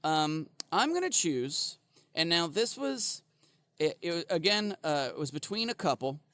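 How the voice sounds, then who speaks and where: A man's voice: a little high, fairly bright tone, clean tone, dry recording. A man co-hosting a podcast in casual comedic chat, rambling and profane with lots of fillers and laughter. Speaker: podcast co-host.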